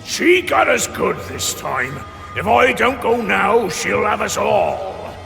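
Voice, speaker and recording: Gravelly, swaggering pirate captain. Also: male voice, high-pitched, sing-song, takes it slowly, heavily acted. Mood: urgent, grave. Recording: long hall reverb under music.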